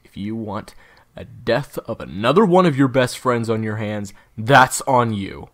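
A man playing a cold, defiant assassin gang leader in an audio roleplay drama, with a husky, gravelly voice. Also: fairly low pitch, expressive, a little slow, fairly bright tone.

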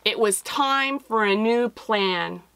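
A middle-aged woman narrates a picture book for young children. She is expressive and very unhurried, drawing out phrases in a highish voice. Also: creaky, a little hiss or hum. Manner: expressive, deliberate, with drawn-out phrases. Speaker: author reading her picture book aloud. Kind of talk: children's storybook read-aloud.

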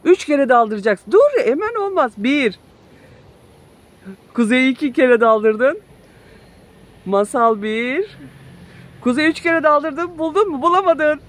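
A woman's voice: sits high, lively intonation, takes it slowly, pauses often. A woman in her thirties, a mother filming her children as they play in a backyard, talking in a playful, coaxing way. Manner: playful, coaxing, laughs often. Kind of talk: casual family vlog narration. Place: backyard play area outdoors. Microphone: handheld phone camera.

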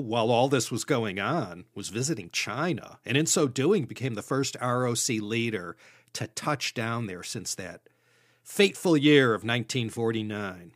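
A man from the United States hosting a history podcast, reading scripted narration in a measured storytelling way with dry asides. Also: medium pitch, no room sound. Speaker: podcast host.

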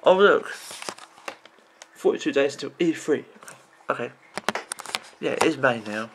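A man in his thirties with a croaky voice, rambling off the cuff as he vlogs about video games at his computer, full of restarts and "you know"s. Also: medium pitch, animated, a little slow, noisy background. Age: 30s. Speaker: video game vlogger. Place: indoors at a computer.

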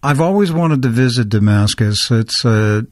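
A man's voice: mid-range voice, expressive, moderate pace, dry recording. A man hosting a talk radio show, reading a scripted intro and then interviewing with earnest, stumbling restarts.

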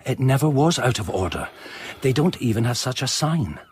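A man's voice: medium pitch, big pitch swings, moderate pace, a little hiss or hum, natural voice. An actor playing an earnest, persistent ex-serviceman and amateur sleuth, courteous but probing, in a radio drama. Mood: urgent, earnest. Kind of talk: British radio drama acting.

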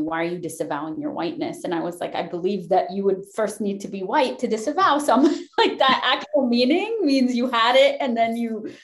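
A woman in her thirties from Lebanon, a podcast guest talking passionately and emphatically on a video call from a room at home, swearing and laughing often. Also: medium pitch. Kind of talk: passionate conversational discussion. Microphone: video call webcam.

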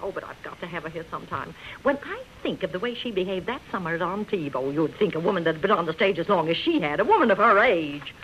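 A woman's voice: on the low side, expressive, fast, few pauses, a bit bright. A woman playing a fussy, snobbish society mother in a 1930s radio drama, fluttery in manner. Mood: disdainful. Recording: dull, noisy old radio recording.

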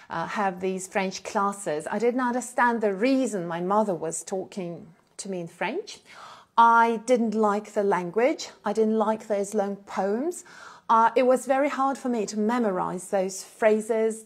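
A woman at a middle pitch, hosting her own live stream. She tells a personal story casually and animatedly, with "um"s and small laughs, close on a clip-on mic in an indoor room. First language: Russian. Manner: animated, gestures a lot while talking, with frequent fillers and short laughs. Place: indoor room in front of a plain blue backdrop. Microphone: small clip-on lapel mic.

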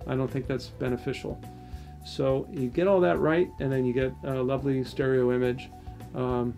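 A middle-aged man, a loudspeaker designer, casually explaining his home listening room, hesitant with restarts. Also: medium pitch, creaky. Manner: hesitant, many fillers and restarts, technical. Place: home listening room, carpeted and acoustically dead.

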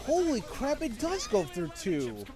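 A man's voice: high-pitched, huge pitch swings, measured pace. Rambling young man streaming a game. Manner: rambling, frequent restarts, blunt and opinionated. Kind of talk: casual game commentary with chat replies. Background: game music and sound effects.

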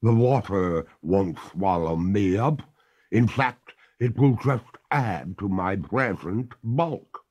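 A man voicing a genteel female water ghost who pleads and protests in a high, drawn-out voice. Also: animated, darkish tone, slightly rough, full character voice. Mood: protesting.

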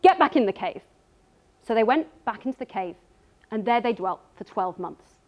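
A woman in her thirties speaks on a darkened stage in a voice set slightly high. Her delivery is earnest and measured as she works through prepared notes, picked up by a stage microphone. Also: expressive, dull audio. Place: dark stage before an audience.

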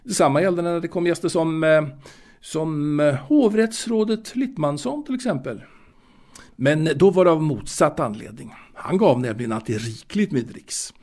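An actor playing a fastidious, proud waiter and former seaman in an audio drama monologue, confiding in a halting, repetitive way. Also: fairly high pitch, animated, darkish tone. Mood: composed, proud. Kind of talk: intimate dramatic monologue, told to a listener.

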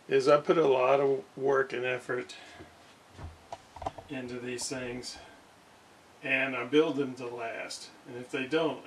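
A man giving a casual hands-on demonstration, speaking haltingly as he thinks aloud in an indoor workshop. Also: mid-range voice, a bit bright. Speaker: radio restorer, video host. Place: indoor home workshop at a desk. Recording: distant mic with background noise.

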